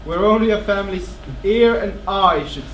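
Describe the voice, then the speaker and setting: A man's voice: high-pitched, moderate pace, fairly bright tone. A man on stage playing a stern, dutiful but pitying royal kinsman in a classical tragedy, speaking formally and with command. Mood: solemn, commanding. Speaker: stage actor. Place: indoor theatre stage. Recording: echoey theatre, distant microphone, faint noise.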